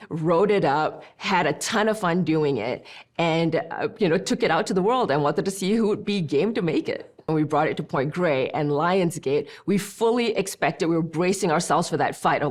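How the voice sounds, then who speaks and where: A middle-aged woman from Malaysia, a film director, talking animatedly in a seated studio interview. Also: fairly low pitch. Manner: animated, gestures as she talks, easy conversational flow. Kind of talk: behind-the-scenes interview.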